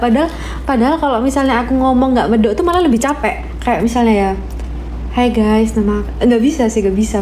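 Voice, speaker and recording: A woman's voice: on the high side, a little mellow. A woman in her twenties with a thick Surabaya accent in her Indonesian, chatting casually on a livestream and answering viewers' questions from her phone indoors. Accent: medok Javanese-accented Indonesian of Surabaya. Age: 20s. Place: dim room indoors. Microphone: phone at arm's length. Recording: clearly noisy phone livestream audio.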